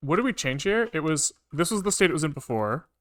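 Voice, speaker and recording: A man thinking aloud casually as he programs on a livestream, halting and questioning himself as he mutters along. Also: a little high, sing-song, fairly bright tone. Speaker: live-coding streamer, programmer.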